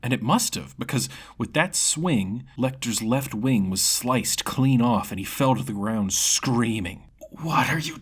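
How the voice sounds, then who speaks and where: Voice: medium pitch, unhurried, few pauses, breathy edge. A storyteller narrating their own fantasy tale, sometimes dramatic and sometimes casually addressing listeners. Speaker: storyteller and channel creator.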